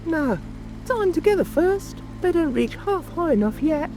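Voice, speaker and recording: A woman voicing a small, feeble, flustered male lizard with a squeaky voice in a dramatic audiobook. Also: big pitch swings, moderate pace, dark tone, low-quality recording. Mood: anxious, protesting. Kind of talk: dramatic audiobook character acting. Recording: music and rain ambience behind.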